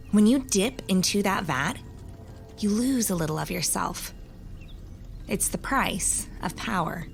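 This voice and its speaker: A woman playing a wise, hospitable and grave keeper of magical lore, telling things deliberately with old-fashioned phrasing in an audio drama. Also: on the low side.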